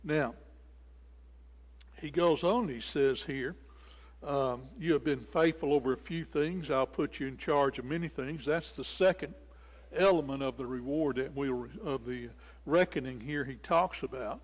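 A man's voice: mid-range voice, a little slow. An older man preaching an expository sermon, explaining scripture and repeating phrases for emphasis. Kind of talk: sermon, expository Bible teaching. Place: indoors in a church sanctuary at a lectern. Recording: reverberant church hall.

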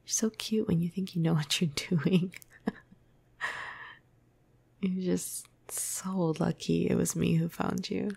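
Woman acting as teasing cuddly vampire, ASMR roleplay, slow and breathy, gravelly fry, intimate murmur. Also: on the low side, steady tone, dark tone, no room sound, exaggerated voice. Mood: flirty, fond. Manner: casual, playful, hesitant, breathy murmur. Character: flirty, cuddly, teasing female vampire crush. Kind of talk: ASMR roleplay, soft intimate half-whisper. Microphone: close microphone.

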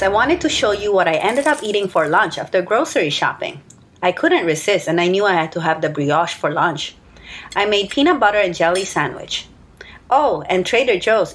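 A woman in her thirties or forties reading a scripted voice-over, listing her grocery purchases in an even, matter-of-fact way. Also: mid-range voice, normal speed. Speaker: grocery haul vlogger. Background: soft background music.

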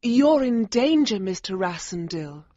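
A man voicing a worried young woman who pleads softly and urgently, in a read-aloud story. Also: sits high, lively intonation, unhurried, dry recording, lo-fi sound, voice pushed up.

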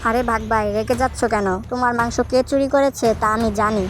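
Woman playing a sly cartoon monkey, quick-talking, high voice, taunting, loud music behind. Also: fairly even intonation, natural voice. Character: cheeky vengeful male monkey in a tree. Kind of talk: cartoon voice acting. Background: background music.

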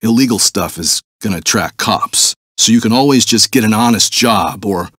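A wry male crook from a video game. His voice is low and raspy, recorded dry, and he cautions in a casual way. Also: expressive, normal speed, a bit bright, no room sound. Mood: wry warning. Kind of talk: video game character dialogue.

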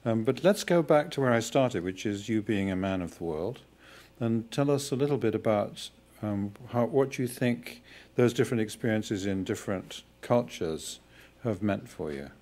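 A man's voice: lowish voice, lively intonation, moderate pace, darkish tone. Older man interviewing on stage. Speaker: host, interviewer. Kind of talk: onstage interview. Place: stage in a small auditorium. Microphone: stand mic.

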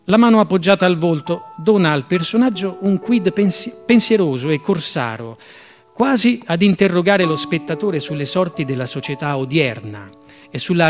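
Male awards presenter, 30s, higher-pitched voice, music underneath. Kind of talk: reading jury citations on stage. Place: theatre stage. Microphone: stand microphone. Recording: music under stage microphone speech.

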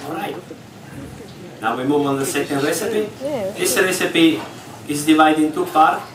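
A man's voice: a little high, measured pace, over a noisy crowd. A man, an English speaker, explaining step by step during a live cooking demonstration on stage, talking in slightly broken English. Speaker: executive chef. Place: large hall on a ship, in front of an audience. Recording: echoey hall from far off.

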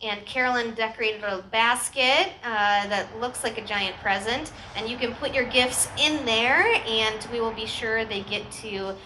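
A middle-aged American woman speaks as a pastor in a noticeably high voice. She addresses her congregation in an informal, chatty way, projecting to the group through a microphone at an outdoor church gathering. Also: a little slow, hardly any pauses, bright, forceful tone, slight background noise, low-quality recording. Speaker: pastor leading a church service.

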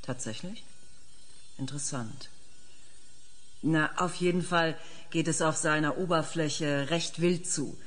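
An actress in a German audio drama playing an enthusiastic planetary scientist, precise and lecturing. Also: female voice, deep voice, slow pace, long pauses. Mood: factual, interested. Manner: precise, lecturing, openly fascinated.

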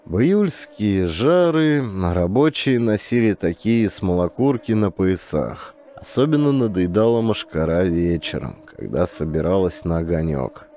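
Low, mellow male audiobook narrator. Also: animated, slight background noise. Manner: measured storytelling reading. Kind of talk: scripted narration.

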